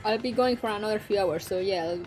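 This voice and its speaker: Brief: young woman streamer, Spanish-accented English, giggly rambling chat, soft music underneath. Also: mid-range voice, fast, poor audio. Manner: giggly and rambling, often laughing between lines. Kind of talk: casual live-stream chat with viewers.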